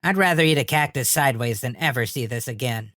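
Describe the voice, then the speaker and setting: A man's voice: medium pitch, quick pace, bright, forceful tone, dry recording, clean studio sound, lightly acted. A man voicing a gloomy, disgusted inner child with a melodramatic, mournful delivery, as if reviewing a cartoon.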